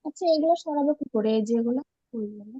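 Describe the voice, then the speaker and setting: A woman's voice: on the high side, fast, warm tone, husky, no echo, lo-fi sound. A chatty woman with a Bangladeshi accent explaining and repeating herself in a casual screen-share discussion on an online call. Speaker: online class participant presenting her channel.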